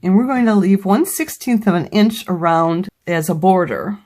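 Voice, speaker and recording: A woman's voice: medium pitch, normal speed, darkish tone. A woman with a slightly scratchy voice from a cold, patiently explaining each step of a crafting tutorial as a craft demonstrator.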